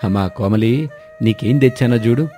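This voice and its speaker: Man voicing a mellow, kindly old village father-in-law. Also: mid-range voice, normal speed, soft background music, natural voice. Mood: affectionate. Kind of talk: cartoon moral story dialogue.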